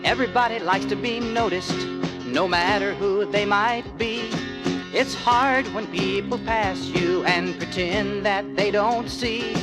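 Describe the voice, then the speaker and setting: Woman singing over loud musical backing. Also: mid-range voice, slow, loud music behind the voice. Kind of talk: children's song.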